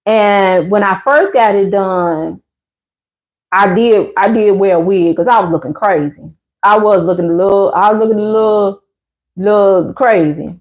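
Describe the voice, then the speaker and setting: A woman's voice: medium pitch, unhurried. An American woman in her fifties chatting casually and candidly with viewers as a livestream host, in colloquial speech. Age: 50s. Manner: candid, conversational, colloquial, animated retelling with acted-out quotes. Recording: slightly dull lo-fi livestream audio.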